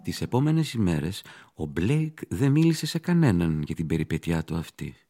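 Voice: low-pitched, big pitch swings, music in the background. A performer as the narrator of a grim horror radio play, telling it in a dramatic, foreboding way.

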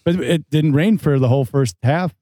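Co-host: low-key guy with a warm dark tone, deadpan. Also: moderate pace, dry recording, clean studio sound. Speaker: podcast co-host. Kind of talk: casual group banter.

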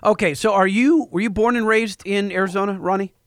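High-voiced man asking blunt questions. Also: steady tone, a little fast, fairly bright tone, no room sound, studio quality. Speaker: podcast host. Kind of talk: casual interview questions.

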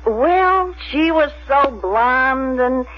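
A woman's voice: high voice, expressive, drawn-out words, soft background music, full character voice. An actress playing a plain, middle-aged small-town woman, hesitant and wistful as she reminisces in a 1970s radio drama. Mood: nostalgic. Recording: dull vintage 1970s radio recording.

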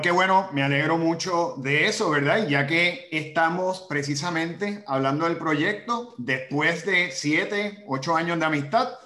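A man's voice: a little high, sharp tone. A man hosting a casual conversational interview. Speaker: host interviewer. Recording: roomy, echoey sound.

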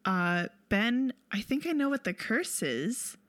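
A woman's voice: fairly high pitch, very animated, slow, fairly bright tone, no room sound. A woman co-hosting a film podcast in a casual, conversational style, recorded close and dry. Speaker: podcast co-host.

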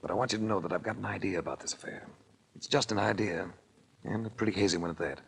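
An actor playing a cool, wry tough-guy investigator in a 1940s radio drama, with a gravelly voice and a faintly noisy vintage recording. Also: fairly low pitch, fast talker. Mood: sober. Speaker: lead actor and narrator.

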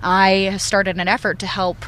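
A woman's voice: mid-range voice, little pitch movement, moderate pace, fairly bright tone. Woman, podcast guest, enthusiastic, filler words, faint outdoor noise. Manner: chatty, enthusiastic, many fillers. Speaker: guest YouTuber. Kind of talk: casual podcast chat. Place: outdoors at a shooting range.

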